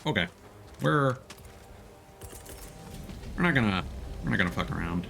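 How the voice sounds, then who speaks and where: A man's voice: lowish voice, animated, unhurried, vocal fry, a bit bright, slight background noise. A man giving casual, playful live game commentary and chatting with viewers, swearing freely. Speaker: live streamer, gamer.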